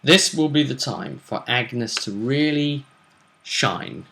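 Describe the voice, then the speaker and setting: A man hosting a tabletop role-play vidcast, casually discussing a fantasy tournament in a musing, deliberate way. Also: medium pitch, animated, gravelly, pushed and bright.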